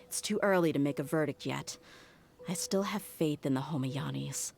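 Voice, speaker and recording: A woman's voice: sits low, normal speed, creaky, a little mellow. A woman playing a loyal, frank mercenary in a video game, with a firm and resolute manner. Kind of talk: video game character acting.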